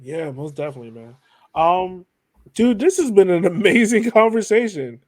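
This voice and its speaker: A man's voice: sits high, lively intonation, moderate pace, a little mellow, no echo, clean studio sound. A man hosting a podcast in a casual, informal and enthusiastic conversational manner. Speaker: podcast host. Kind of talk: casual chat.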